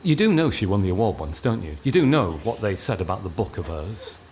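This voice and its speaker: An actor playing a nosy train guard in a radio play, pointedly polite yet blunt and needling. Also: male voice, on the low side, sing-song, brisk, darkish tone, slight background noise. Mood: ominous needling. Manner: sing-song ticket call, pointedly polite, blunt.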